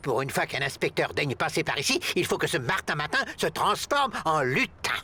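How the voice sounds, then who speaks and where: An actor playing a sardonic, grumbling school employee in a cartoon. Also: male voice, fairly high pitch, big pitch swings, moderate pace, bright, forceful tone, breathy edge, heavily acted. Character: grumbling, long-suffering male school staffer.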